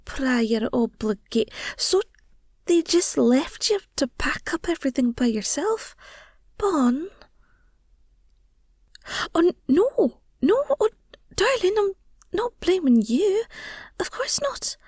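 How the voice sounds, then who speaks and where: Woman doing a high voiced werewolf girlfriend for audio rp, slow n tender, teasing, protective, slips into whispers. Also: sing-song, darkish tone, no echo, studio quality, heavily acted. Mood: concerned, gentle. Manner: tender, teasing, murmured, often drops to whispers. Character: affectionate, playful, protective young werewolf girlfriend. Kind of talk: intimate girlfriend audio roleplay.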